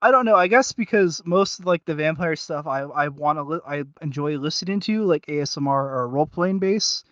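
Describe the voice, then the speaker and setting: A man's voice: a little high, brisk, a bit bright, no room sound, dull audio. A man co-hosting a casual review podcast, rambling and hesitant as he searches for his words. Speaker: podcast co-host reviewing audio series.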